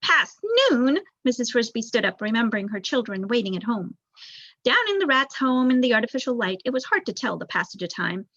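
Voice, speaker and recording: A woman's voice: fairly high pitch, a bit bright, no room sound. A young adult woman reading a children's novel aloud as a storyteller, on a dull recording. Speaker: volunteer story reader, student.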